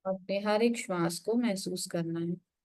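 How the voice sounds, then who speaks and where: A woman leading a guided meditation, giving instructions in an unhurried way with frequent pauses. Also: medium pitch, a little mellow.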